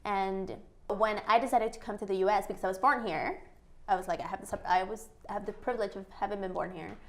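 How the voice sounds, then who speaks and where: A woman from Mexico, a guest in a casual interview, telling her story with animated energy, laughing often and acting out what people said to her. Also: medium pitch, fast, creaky. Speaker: guest, entrepreneur.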